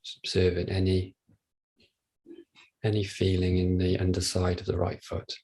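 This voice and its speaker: A man leading a guided body scan meditation, speaking gently and without hurry, leaving long pauses. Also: deep voice, completely flat delivery, husky. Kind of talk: guided meditation, soft instruction.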